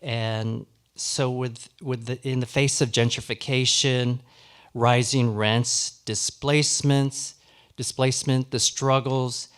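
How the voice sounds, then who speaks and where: A middle-aged or older man giving semi-formal closing remarks at a live event in an earnest, halting way. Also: medium pitch, steady tone, smooth. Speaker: historical society president giving closing remarks. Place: live awards ceremony before an audience.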